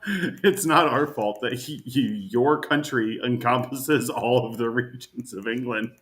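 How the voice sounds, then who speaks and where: A man co-hosting a casual podcast, laid-back and quick to laugh. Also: medium pitch, fairly bright tone. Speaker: podcast co-host.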